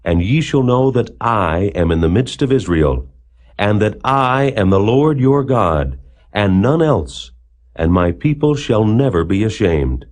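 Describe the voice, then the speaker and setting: A man with a slightly low voice reads scripture aloud as a narrator. His delivery is solemn and declamatory, rising and falling widely across carefully measured phrases. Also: very animated, a little mellow. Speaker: Bible narrator.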